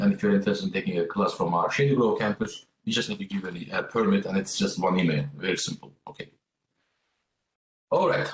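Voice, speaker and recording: A man's voice: lowish voice, a bit bright, roomy sound, low-quality recording. A man from Turkey with a Turkish accent, presenting a graduate program at a virtual open house in an informal way, with many fillers. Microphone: video call.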